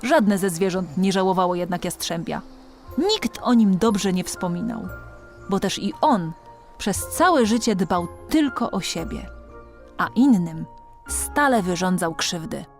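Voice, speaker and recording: A woman's voice: lowish voice, expressive, measured pace, a little mellow, soft background music. A woman reading a children's storybook as an audiobook narrator, expressively and with dramatic emphasis.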